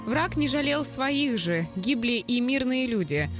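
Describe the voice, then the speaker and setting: A woman reporter reading scripted news narration in a measured, solemn voice-over. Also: sits high, lively intonation, over music.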